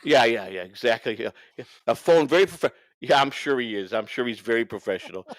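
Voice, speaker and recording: A man's voice: medium pitch, lively intonation, a little fast, dry recording. A man on a livestream, talking off the cuff in a profane, rambling way and repeating himself for emphasis. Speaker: livestream co-host. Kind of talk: unscripted livestream rant and banter.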